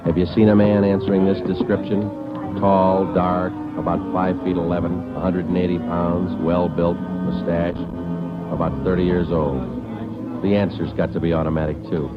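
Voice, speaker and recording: An actor playing a terse, weary American police detective in a 1940s radio drama, with clipped, deadpan, understated delivery. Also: male voice, low-pitched, moderate pace, close to an everyday voice. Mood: flat, grim. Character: terse, weary male police detective sergeant narrating a manhunt. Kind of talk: 1940s radio police drama acting, dry first-person narration. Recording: muffled vintage radio recording, music behind.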